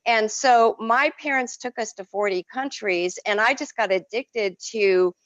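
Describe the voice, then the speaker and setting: A woman whose first language is French, an artist telling stories in an effusive, expressive way as an interview guest. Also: a little high, a little slow, dry recording, dull audio. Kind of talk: casual interview.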